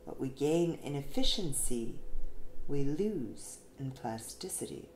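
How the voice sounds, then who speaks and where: A woman reads a written essay aloud in an expressive, emphatic way with deliberate phrasing. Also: a bass voice. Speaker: reader of an essay. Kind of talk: scripted narration, essay read aloud. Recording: roomy echo, faint background noise.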